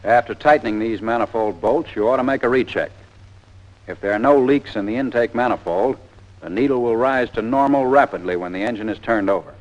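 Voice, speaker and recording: A man's voice: mid-range voice, fairly quick. An American man narrating a 1940s instructional training film in a plain-spoken, methodical, step-by-step way. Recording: dull, lo-fi 1940s film soundtrack.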